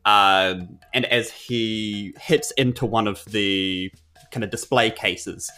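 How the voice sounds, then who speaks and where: A man's voice: on the low side, fairly even intonation, slow pace, bright, forceful tone, faint music behind. A man with a New Zealand accent narrating his character's moves in a tabletop game, in casual, hesitant phrasing. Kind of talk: casual improvised roleplay narration.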